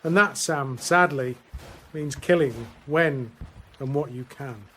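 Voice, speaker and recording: An actor playing a grim, weary First World War soldier counselling a lad in a radio drama, measured and earnest with pauses. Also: male voice, medium pitch, pushed and bright, slight background noise. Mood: grim, intense.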